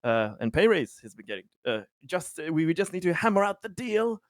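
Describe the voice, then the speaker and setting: A man speaking in a halting, hesitant way during a tabletop roleplaying game. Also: on the high side, sing-song, dry recording, clean studio sound.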